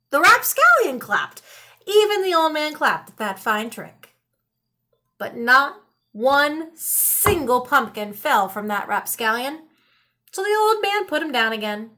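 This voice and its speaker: A woman reading a children's storybook aloud in an animated narrating style. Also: high-pitched. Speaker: storybook reader.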